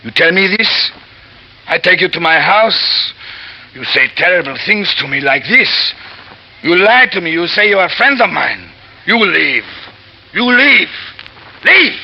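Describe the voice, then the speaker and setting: An actor playing an emotional French mill worker who speaks broken English with a French accent, in an old radio drama. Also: male voice, high voice, very animated, a little slow, creaky, breathy edge, highs cut off, exaggerated voice. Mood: outraged. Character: excitable French male steelworker, middle-aged. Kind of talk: 1950s radio drama acting.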